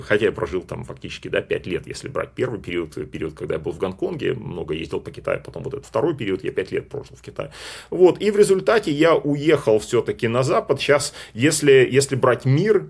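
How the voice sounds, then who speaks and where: A man's voice: mid-range voice, gravelly, slightly rough. A man from Russia talking in a casual, reflective monologue, hesitant and full of fillers and restarts. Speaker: speaker on personal identity.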